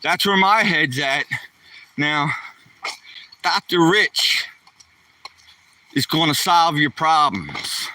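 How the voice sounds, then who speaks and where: A man's voice: on the high side, expressive, slow pace, long pauses, sharp tone, recorded from a distance, a little hiss or hum. A man in his sixties chatting casually on a live stream while walking his dog outdoors, rambling in a folksy, slangy way into a handheld phone. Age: 60s. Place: outdoors on a neighborhood walk.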